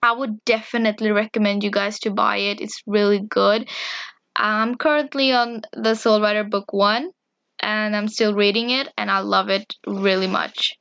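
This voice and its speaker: A woman hosting a gaming channel, casually reading out viewer questions and the answers to them, haltingly and with frequent pauses. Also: medium pitch, steady tone, gravelly, a bit bright, clean tone, no room sound, muffled recording. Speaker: YouTube gaming channel host.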